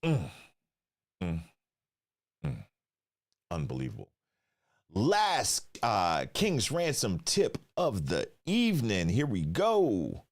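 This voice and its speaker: A man's voice: fairly low pitch, huge pitch swings, slow, pauses often. A man hosting a live stream, reacting with slangy, profane banter and reading tips aloud. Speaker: livestream host. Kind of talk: casual live-stream commentary.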